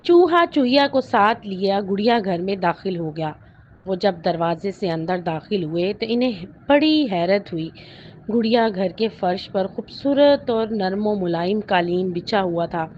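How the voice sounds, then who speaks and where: A woman's voice: fairly low pitch, a little mellow. A woman narrating a scripted children's story as a storyteller. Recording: dull lo-fi audio with clear noise.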